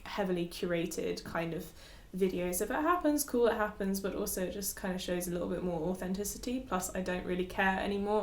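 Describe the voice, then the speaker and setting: A woman's voice: medium pitch, reverberant. A young woman in her twenties who grew up in Dubai, a yoga teacher chatting casually to camera, giggling often and using lots of fillers.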